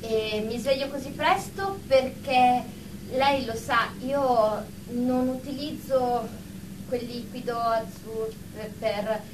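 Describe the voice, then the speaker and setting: Woman acting a fussy, high-voiced germophobe nurse, echoey. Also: fairly even intonation, slow pace. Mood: anxious, fussy. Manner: fussy, defensive, insistent, hesitant. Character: prim, anxious germophobe nurse in group therapy. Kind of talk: Italian radio drama acting. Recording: echoey room, distant mic, background noise.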